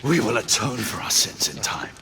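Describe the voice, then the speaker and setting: Casting: solemn, conflicted game knight, forceful bright voice with animated pitch, formal and intense. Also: male voice, normal speed, a little hiss or hum. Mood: grave, accusing. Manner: formal, intense, dramatic delivery. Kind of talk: dramatic video game acting.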